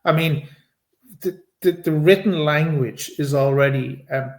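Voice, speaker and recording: Slow-talking Irish man, echoey room. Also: on the high side. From Ireland. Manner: laughs often, self-deprecating, halting restarts. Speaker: podcast co-host. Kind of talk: casual podcast chat.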